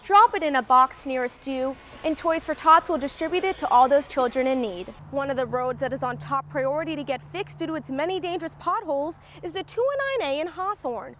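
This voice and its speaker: A young woman with a high voice reads scripted news as a television reporter. Her delivery is formal and built for broadcast. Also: lively intonation, brisk, hardly any pauses, a bit bright, slight background noise.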